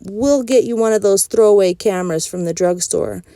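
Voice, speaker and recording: A tired, kindly father from a storybook, plain-spoken and weary, voiced by a woman reading aloud. Her tone is mellow and the recording dry. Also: mid-range voice, moderate pace, no room sound, close to an everyday voice.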